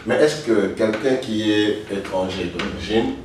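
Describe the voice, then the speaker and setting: A low-voiced man hosts a TV talk show and circles his questions with many fillers. His voice sounds distant and bounces around a very echoey studio. Faint noise sits under it. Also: unhurried, a little mellow. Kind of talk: TV studio discussion.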